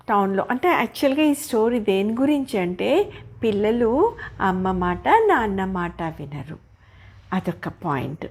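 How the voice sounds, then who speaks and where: Animated older woman telling children a story. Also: mid-range voice, breathy edge. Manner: expressive, explanatory, asks listeners questions. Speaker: storyteller grandmother.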